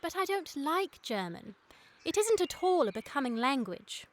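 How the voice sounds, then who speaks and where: Ingenuous, pert Victorian teenage girl, radio comedy; very high, lively melodic delivery. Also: normal speed, a little hiss or hum. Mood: innocently pert. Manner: ingenuous, precise, politely contrary. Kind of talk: period radio comedy acting.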